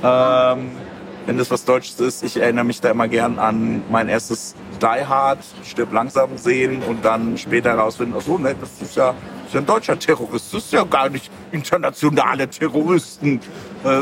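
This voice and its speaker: A man's voice: medium pitch, normal speed. A man speaking casually as an interview guest, thinking aloud in a rambling way. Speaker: interview guest, graphic novel creator. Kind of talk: casual chat. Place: outdoors at a busy book fair. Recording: clearly noisy outdoor fair ambience.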